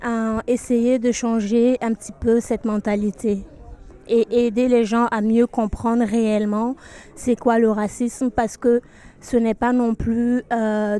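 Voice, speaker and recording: A woman from Burkina Faso, a pageant candidate, giving earnest, measured interview answers. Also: a little high, mellow, clean tone.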